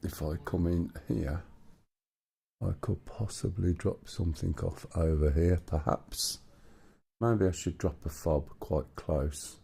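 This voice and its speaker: A man with a hoarse, rumbly voice, chatting casually as a livestream host while he thinks aloud over a map. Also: fairly even intonation, takes it slowly, mellow. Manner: muses aloud to himself while checking a map. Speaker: streamer. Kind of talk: casual gaming livestream chat.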